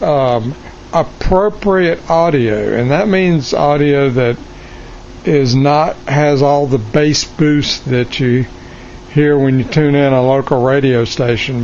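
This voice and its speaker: Male hobbyist restorer, unhurried and hesitant, casual workshop demo on a lo-fi camera mic with faint background noise. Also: medium pitch, darkish tone. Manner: hesitant, halting, thinking aloud. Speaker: hobbyist restorer of vintage radio gear. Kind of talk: casual, unscripted show-and-tell. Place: cluttered indoor electronics workshop. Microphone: handheld camera mic, behind the lens.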